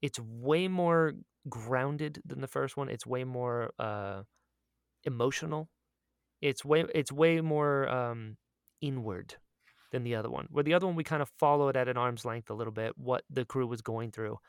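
A man co-hosting a podcast in casual chat, speaking in an excitable, emphatic way and often repeating himself for emphasis. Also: mid-range voice, normal speed, vocal fry, clean tone, dry recording, studio quality. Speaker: podcast co-host and audio drama creator.

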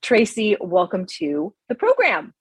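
A woman's voice: medium pitch, huge pitch swings, measured pace, breathy edge, no room sound. A woman hosting a podcast, introducing her guest and asking interview questions.

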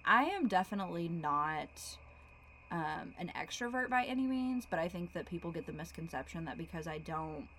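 A young woman with a Texan accent co-hosting a podcast, speaking in a reflective, measured way. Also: on the low side, animated, a bit bright, a little hiss or hum. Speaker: podcast co-host. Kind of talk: casual chat.

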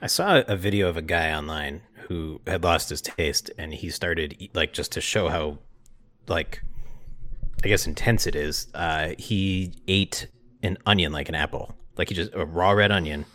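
A man's voice: sits low, moderate pace, a bit bright. A man co-hosting a podcast in casual comedic chat, joking and riffing on silly made-up bits. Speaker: podcast co-host.

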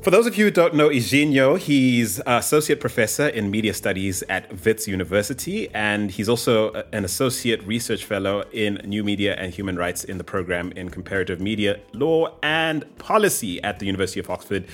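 A man's voice: fairly low pitch, fairly quick, hardly any pauses. Upbeat male podcast host. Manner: lively, reads guest bios at length. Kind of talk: podcast interview.

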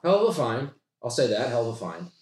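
Eager young archaeology assistant, played by a man, wordy and earnest, swinging pitch, roomy echo. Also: very animated, quick pace, a little mellow, close to an everyday voice. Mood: awed, excited. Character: eager, chatty young assistant on his first archaeological dig. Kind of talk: comedy sketch table read. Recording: roomy, echoing podcast recording.

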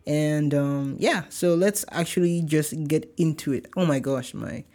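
A man's voice: on the high side, a little slow, dark tone. A young man from Nigeria with a light Nigerian accent, hosting a casual solo podcast in an upbeat, chatty, rambling way. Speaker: podcast host.

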